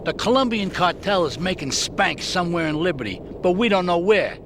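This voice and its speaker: A man playing an older mob boss in a game cutscene, gruff and commanding. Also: sits high, lively intonation, brisk, fairly bright tone, slight background noise. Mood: grave. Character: older male mob boss, bossy and crude. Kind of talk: video game cutscene acting.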